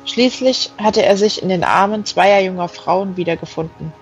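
Audiobook narrator, mid-pitched voice, reading literary prose with music underneath. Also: normal speed, highs cut off. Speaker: audiobook reader.

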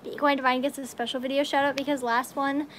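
Bubbly young American woman, high-pitched. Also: quick pace. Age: young adult. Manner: bubbly, excitable, slangy. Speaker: livestreaming vlogger. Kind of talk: casual livestream chat. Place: metal-walled horse stall in a barn. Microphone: phone at arm's length. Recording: noisy barn ambience, handheld phone.

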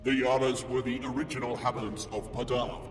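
A man voicing a calm, obedient AI machine in a science fiction story, with a measured, emotionless delivery and a robotic effect. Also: mid-range voice. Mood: calm, detached. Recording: long reverb, music behind.

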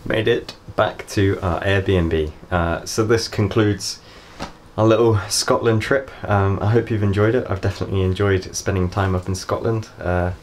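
Young British male travel vlogger, casual and chatty, lower voice, noisy handheld camera sound. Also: steady tone, moderate pace. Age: young adult. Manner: chatty, laughs often, swears when caught in the rain. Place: outdoors in windy hills, in a car, in a rented room. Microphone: handheld camera at arm's length.

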